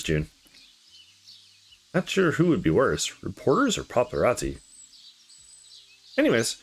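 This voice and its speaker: A man hosting a let's play, reading visual novel dialogue aloud with dramatic, drawn-out phrasing. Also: medium pitch.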